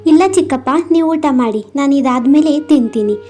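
A woman voicing a gentle, obedient orphan girl in a cartoon moral story. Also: high-pitched, moderate pace, soft background music, lightly acted. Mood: meek, willing. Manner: meek, dutiful.

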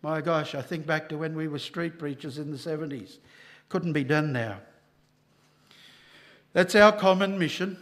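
An older man preaching a sermon at a church lectern microphone, emphatic and rising loud on key lines. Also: on the high side.

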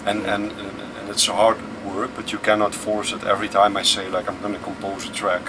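A man in his thirties whose first language is Dutch, answering interview questions aboard a tour bus in a relaxed, thoughtful way with many fillers. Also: lowish voice, normal speed. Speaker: musician guest. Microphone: camera close in front. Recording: distant mic with vehicle noise. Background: air conditioning and vehicle hum.

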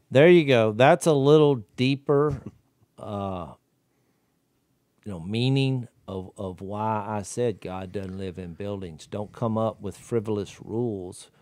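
A man, co-hosting a podcast, talking in an animated, riled-up way on a studio mic. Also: on the low side, slow pace, dry recording. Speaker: podcast co-host. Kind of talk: casual religious discussion. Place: wood-paneled studio room. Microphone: studio mic with headphones.

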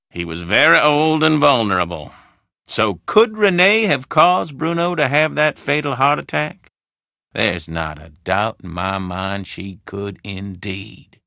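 An actor playing a pompous older doctor in a video game, speaking in a formal, deliberate, theatrical way. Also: male voice, mid-range voice, vocal fry, bright, forceful tone, no echo, studio quality, exaggerated voice. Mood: indignant, accusing. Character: pompous, gloomy old Southern doctor, the dead man's friend.

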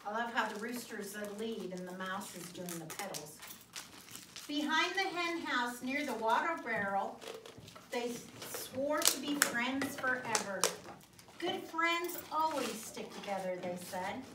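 A woman's voice: on the high side, lively intonation, measured pace. A middle-aged woman reading a picture book to children in a classroom, expressively drawing out words and checking in with questions. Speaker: guest storyteller reading to schoolchildren. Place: indoor school classroom. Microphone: distant phone or camera across the room. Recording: roomy, distant mic, noisy classroom.

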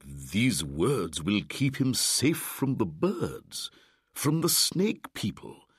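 Patient old storybook bear, slow and lively in pitch; male narrator voicing it. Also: breathy edge, no echo, clean studio sound, heavily acted. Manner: slow, deliberate, warmly instructive.

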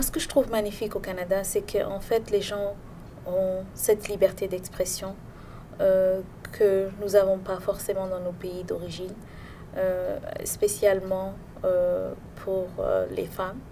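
A woman interviewee answering hesitantly with many filler sounds, in an indoor office. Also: mellow. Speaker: immigrant interviewee. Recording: slightly distant mic with faint rumble.

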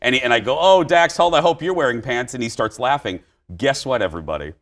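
A man in his forties hosting a daytime TV talk show from a bright studio set, animated and often breaking into shouts. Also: mid-range voice, fast, a little hiss or hum. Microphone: lapel mic.

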